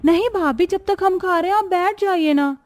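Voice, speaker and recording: A woman's voice: extremely high pitch, moderate pace, a little mellow, pitched above the performer's own voice. A woman voicing spoiled, haughty young sisters-in-law in a cartoon, whiny and demanding. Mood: whiny, wheedling. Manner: whiny, entitled, wheedling. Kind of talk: acted Hindi cartoon moral story.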